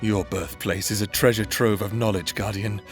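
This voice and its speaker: A man voicing a menacing, scheming male necromancer in a roleplay audio drama, speaking in a calm, threatening, theatrical way. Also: fairly low pitch, normal speed, music in the background, natural voice.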